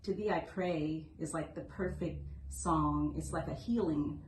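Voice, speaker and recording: A woman with a fairly low voice talks casually and with enthusiasm, like a podcast co-host. The room is clearly echoey, with faint noise behind her. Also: unhurried. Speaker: interview co-host and music video director. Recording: roomy echo, faint background noise.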